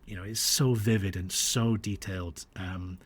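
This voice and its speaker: A man's voice: sits low, steady tone, normal speed, breathy edge. A man born in Australia who grew up internationally, telling anecdotes as a podcast guest in a reflective, hesitant, conversational way. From born in Australia, grew up across the US and Dubai.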